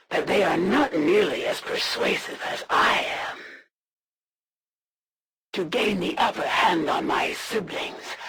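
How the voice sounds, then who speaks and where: An actress playing a proud, menacing alien assassin in a video game, speaking in a formal, haughty, threatening way. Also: female voice, on the low side, vocal fry, noisy background. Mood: cold, scheming. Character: proud alien assassin warrior, female, menacing, honor-bound, disdainful. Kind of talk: scripted video game villain voice acting.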